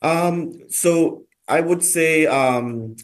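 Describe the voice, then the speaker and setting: A man from the United States giving interview answers as a college staff member, in a halting way with many pauses and repeats. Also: a little high. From United States, South Florida. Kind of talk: hesitant conversational interview answers.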